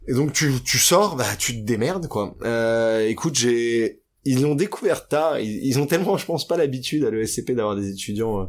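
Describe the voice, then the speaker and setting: A young man from Paris, telling his life story candidly in a casual interview, with many fillers and restarts. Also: fairly low pitch. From France. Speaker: guest.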